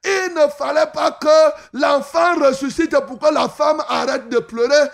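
A man preaching a revival sermon, shouting and stretching his phrases into a sing-song chant. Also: very high-pitched, fairly even intonation, unhurried, creaky.

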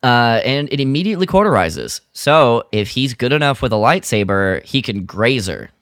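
A man's voice: medium pitch, sing-song, moderate pace, fairly bright tone, no echo, studio quality. A man co-hosting a podcast, recapping a radio drama in casual chat, rambling with lots of fillers and restarts. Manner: rambling, full of fillers and restarts, quotes characters mockingly. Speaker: podcast co-host.

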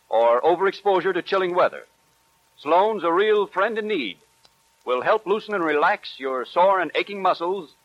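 A man reading a scripted 1940s radio sponsor message as an earnest, measured announcer with emphatic phrasing. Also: on the high side, fairly bright tone, no room sound. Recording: dull, dry 1940s radio recording.

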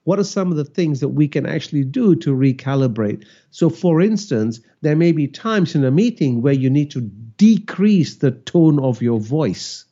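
A man's voice: on the high side, expressive, normal speed, hardly any pauses, warm tone, breathy edge, dull audio. A man who grew up in Malaysia, hosting a solo podcast and teaching with reflective, rhetorical questions. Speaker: cultural intelligence educator, podcast host.

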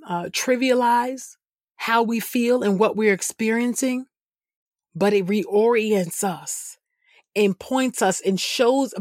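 A woman with a husky voice hosting a devotional podcast, speaking in an earnest religious style. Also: medium pitch, no echo, studio quality. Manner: fervent, repeats phrases for emphasis. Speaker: podcast host leading prayer and scripture reading. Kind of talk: devotional prayer and podcast narration.

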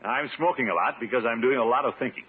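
An actor playing a pompous, worried water commissioner with a hearty, blustery delivery in a 1950s radio comedy. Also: male voice, medium pitch, fast, breathy edge, natural voice. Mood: preoccupied. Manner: blustery, eager to please. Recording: hissy, muffled 1950s radio recording.

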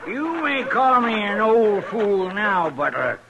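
An actor playing a prickly, drunken old rancher in a western radio drama, with slurred, stubborn speech. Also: male voice, high-pitched, very animated, takes it slowly, vocal fry, dull audio, exaggerated voice. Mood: boastful, defiant. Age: older. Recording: old radio recording with crowd noise.

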